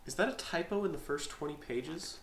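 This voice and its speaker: A man's voice: on the high side, fairly quick. Echoey man talking casually off mic. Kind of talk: casual off-script talk. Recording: echoey, faintly noisy, off mic.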